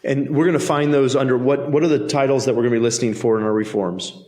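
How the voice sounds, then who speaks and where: A man's voice: mid-range voice, fairly even intonation, fast, a little mellow. Affirming male podcast host. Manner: affirming, summarizes guest's points. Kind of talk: podcast interview.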